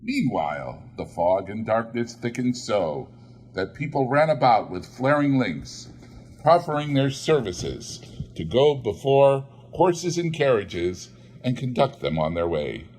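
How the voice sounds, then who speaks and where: A man reading a classic novel aloud in measured storytelling narration. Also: mid-range voice, very animated, darkish tone, breathy edge. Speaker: audiobook reader.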